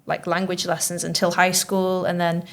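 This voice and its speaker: A woman's voice: lowish voice, monotone, normal speed. A woman from Manchester with a Mancunian accent, a teacher hosting a school podcast and chatting encouragingly with pupils. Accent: Mancunian English. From Manchester, England. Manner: chatty, encouraging, lots of "like" and "okay". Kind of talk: casual interview chat with pupils.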